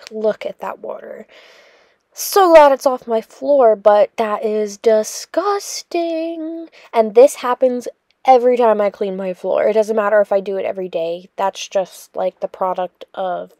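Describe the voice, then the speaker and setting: A woman's voice: mid-range voice, warm tone, no room sound, studio quality. A young woman narrating her cleaning vlog in a chatty, rambling voice-over full of fillers. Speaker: cleaning vlogger.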